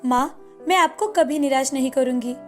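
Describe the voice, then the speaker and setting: A woman's voice: sits high, moderate pace, a little mellow, soft background music, close to an everyday voice. A woman voicing a meek, polite, pleading young daughter-in-law in a cartoon moral story. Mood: sincere.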